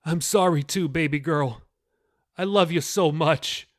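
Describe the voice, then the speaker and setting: A man playing a forceful pastor father. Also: on the high side, a little slow, close to an everyday voice. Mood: tender. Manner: loud, forceful, commanding prayer. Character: commanding middle-aged pastor father casting out demons.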